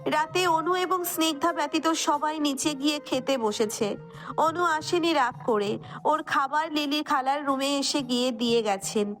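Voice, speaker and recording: A high-pitched female voice reads a scripted romantic tale as narrator. Faint music runs underneath the narration. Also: measured pace, fairly bright tone.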